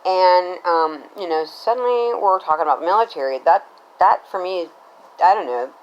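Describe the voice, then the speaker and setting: An American woman in middle age with a fairly low voice that moves up and down in a lively way. She tells a personal story casually and ramblingly, checking in as she goes. The recording sounds slightly dull and lo-fi. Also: moderate pace. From Cincinnati, Ohio, United States. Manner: rambling, conversational, often checks in with "right?".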